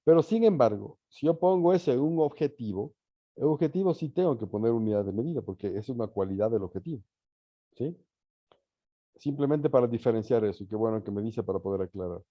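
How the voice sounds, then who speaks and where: A man teaching a class in an informal lecture style, pausing to think and often checking understanding with short tag questions. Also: medium pitch, animated, no room sound, muffled recording. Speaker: university teacher leading an online or live class.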